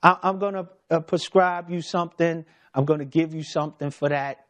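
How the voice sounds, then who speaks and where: Obliging male doctor, quoted by a man in a personal story, brisk and higher. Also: steady tone, a bit bright, natural voice. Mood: agreeable. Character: male doctor, easygoing and agreeable.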